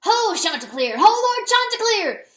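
A woman's voice: very high voice, sing-song, unhurried, fairly bright tone, echoey room, voice pushed up, exaggerated voice. A woman voicing a small, fierce male weasel warrior in an animal storybook, crying out in bursts of broken rustic grammar. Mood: boisterous, urgent.

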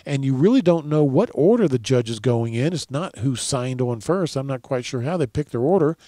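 A man's voice: medium pitch, lively intonation, brisk, dark tone, dry recording. A man hosting a law radio show, chatting casually with a colleague in a studio on a headset mic. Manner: rambling, folksy storytelling. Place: dark studio.

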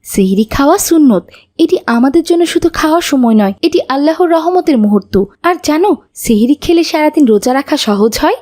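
A woman's voice: high voice, animated, normal speed, darkish tone. A woman voicing a sensible, caring older sister in a cartoon, earnest and admonishing.

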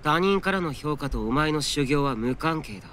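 A man's voice: on the high side, animated, normal speed, fairly bright tone, a little hiss or hum. A man voicing a stern, aloof immortal master in a game, curt and cold. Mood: stern, cool.

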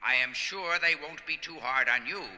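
An actor playing a stiff, irritable, fearful bureaucrat with a pedantic manner in an audio drama. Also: male voice, fairly high pitch, moderate pace, pushed and bright. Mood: stiff, anxious. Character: officious, irritable, fearful bureaucratic factory man. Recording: long hall reverb.

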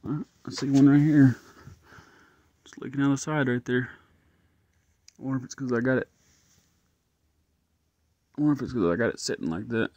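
A man's voice: medium pitch, normal speed, long pauses, warm tone, dry recording, dull audio. A man thinking aloud as he tests an engine at his garage workbench, casual and a bit frustrated. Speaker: home mechanic vlogger. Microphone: phone camera held close.

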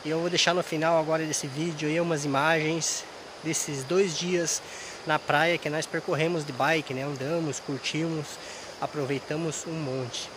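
A man, hoarse from a cold, chatting casually to viewers in a travel vlog recorded outdoors at a riverside campsite on a camera held at arm's length. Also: a little high, steady tone, normal speed, background noise. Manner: chatty, addresses viewers directly. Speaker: vlogger. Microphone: action camera held at arm's length.

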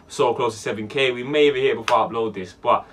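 A young man in his twenties with a London accent, hosting a music reaction video in casual slang-heavy banter, swinging from loud bursts to low mutters. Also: quick pace, background noise. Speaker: music reaction channel host. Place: small dark room indoors.

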